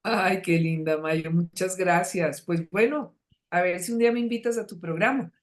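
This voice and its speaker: A woman's voice: on the low side, normal speed. A woman hosting a webinar, chatting in an encouraging, playful way with a participant. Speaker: webinar host. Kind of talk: warm conversational webinar talk.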